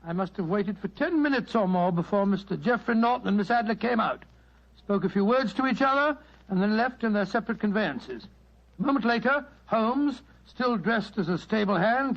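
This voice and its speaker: Eager, high-voiced gentleman. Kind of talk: 1940s radio drama acting. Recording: dull vintage radio recording.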